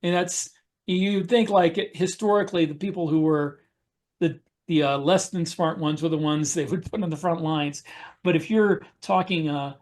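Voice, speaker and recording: A man co-hosting a podcast, explaining things in casual discussion with halting pauses mid-sentence. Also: a little high. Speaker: podcast co-host. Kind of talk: casual discussion of writing military science fiction.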